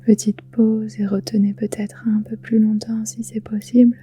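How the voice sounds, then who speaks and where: A woman guiding a relaxation meditation in a soft, breathy, whispery voice, soothing, with gentle pauses. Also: a little high, level tone. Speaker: yoga nidra guide. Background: soft music with singing bowls.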